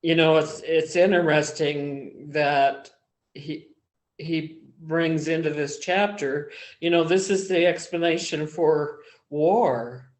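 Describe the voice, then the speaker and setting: A man in a casual book discussion group, talking slowly and haltingly with many pauses and fillers. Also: fairly high pitch, steady tone. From small-town background.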